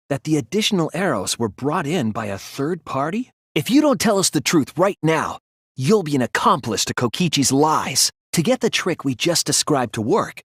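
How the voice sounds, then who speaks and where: Young anime-game detective, earnest careful reasoning, husky voice, really dry sound. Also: male voice, mid-range voice, dry recording. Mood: firm, pressing. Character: earnest young male detective student, analytical and soft-spoken. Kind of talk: video game voice acting, deductive explanation.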